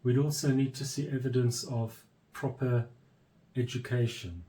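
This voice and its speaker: A man with a mellow, warm voice speaks in an earnest, unhurried way, breaking his thoughts into short phrases. He sounds steady and firm, like a leader explaining what is expected of newcomers. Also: medium pitch. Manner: measured, pausing between phrases, firm. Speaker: church leader. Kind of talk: earnest informational address.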